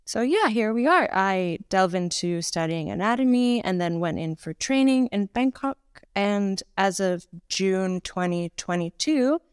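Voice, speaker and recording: A woman in her thirties with a Canadian accent, a Pilates instructor chatting as a guest on a video call, talking with lively gestures and lots of fillers. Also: lowish voice, smooth, no echo, clean studio sound. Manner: chatty, animated hand gestures, frequent fillers. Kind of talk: casual conversational interview. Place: home room, indoors.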